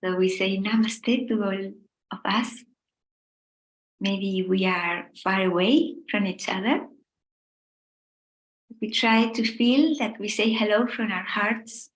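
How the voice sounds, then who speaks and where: A middle-aged woman from Spain with a Spanish accent, gently leading a meditation talk in short, unhurried phrases with pauses. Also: medium pitch, roomy sound, rough recording. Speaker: meditation teacher.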